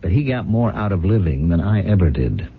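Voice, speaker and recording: A muffled, phone-like radio recording of a suave factory boss around forty. His low, husky voice swings expressively as he plays the ambitious husband. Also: lively intonation, brisk, exaggerated voice. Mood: intense, confiding. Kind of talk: 1970s radio drama acting.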